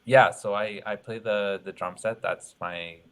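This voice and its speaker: Bright-toned, thoughtful young man. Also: fairly low pitch, steady tone, measured pace, clean tone. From Ottawa, Canada. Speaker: drummer, guest. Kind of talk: casual interview chat.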